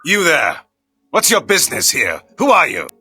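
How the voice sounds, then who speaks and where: An actor voicing an arrogant, menacing vampire who speaks in a haughty, formal, commanding manner in a video game. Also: male voice, on the high side, huge pitch swings, normal speed, sharp tone, husky, exaggerated voice. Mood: demanding. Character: arrogant, menacing male vampire, imperious and threatening. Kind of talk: theatrical video game voice acting.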